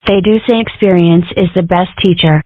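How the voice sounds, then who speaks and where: A woman's voice: fairly low pitch, fairly even intonation, a little fast, no room sound, close to an everyday voice. A woman voicing a brash, sardonic young girl fox in a cartoon comic dub, with a dry, sarcastic delivery. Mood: teasing. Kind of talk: comic strip dub acting. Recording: tinny phone-like band-limited audio.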